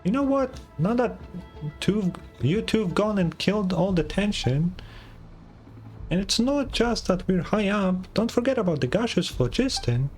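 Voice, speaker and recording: Small fretful game fairy, played by a man: high, chirpy voice, swooping and excitable. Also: unhurried, soft background music. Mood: nervous, scolding. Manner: chirpy, exclamatory, questioning. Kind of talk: video game dialogue read aloud.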